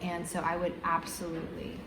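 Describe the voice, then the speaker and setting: A woman answering interview questions thoughtfully, pausing often with ums. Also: lowish voice, monotone, roomy sound, noisy background. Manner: thoughtful, many ums and pauses.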